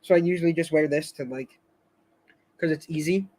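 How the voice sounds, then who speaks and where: A man with a somewhat high voice hosts a casual live stream. He rambles and hesitates, restarting his sentences and filling the gaps with fillers. His voice is getting a little hoarse. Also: steady tone, fairly quick, mellow. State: slightly hoarse, losing his voice.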